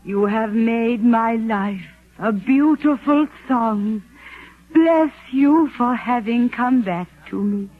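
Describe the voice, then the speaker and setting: A devout, forgiving sweetheart, tender and slow, with a husky edge. It is a 1940s radio play with thin, tinny sound. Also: female voice, on the high side. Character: devoted, forgiving woman, tender and devout, long waiting for love. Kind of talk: 1940s radio drama acting. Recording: tinny, band-limited 1940s radio with music.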